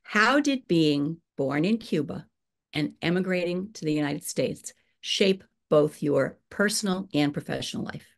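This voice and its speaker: A woman's voice: deep voice, lively intonation, unhurried, gravelly. A woman in her sixties moderating a video call interview, affirming her guest and asking questions. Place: home room.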